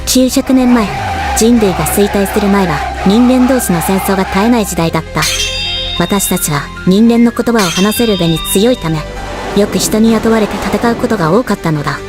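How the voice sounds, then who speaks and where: A woman voicing a stoic, battle-hardened female death god in an anime drama, with measured, composed dramatic delivery. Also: mid-range voice, music in the background, natural voice. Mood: reflective. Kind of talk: anime voice drama, scripted narration and dialogue.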